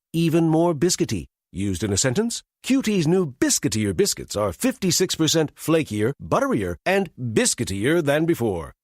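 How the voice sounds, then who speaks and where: A man's voice: on the high side, dry recording, clean studio sound. A man delivering a playful, scripted commercial read. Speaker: commercial narrator.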